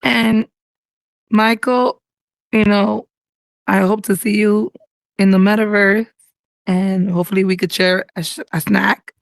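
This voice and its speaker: A woman's voice: mid-range voice, fairly even intonation, measured pace, creaky, pauses often, dry recording, low-quality recording. A woman co-hosting a casual podcast, chatty and teasing. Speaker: podcast co-host.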